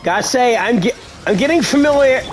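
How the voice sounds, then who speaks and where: A gaming video host giving casual gameplay commentary, given to excitable exclamations. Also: mid-range voice, brisk, music in the background.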